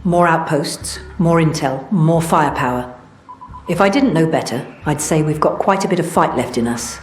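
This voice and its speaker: A woman acts out a female intelligence officer from a sci-fi game, with a low-pitched voice. She briefs in a measured, matter-of-fact and analytical way. The sound is slightly roomy, with music underneath. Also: close to an everyday voice. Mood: quietly resolute. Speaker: mission-giving game character. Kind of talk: scripted game dialogue.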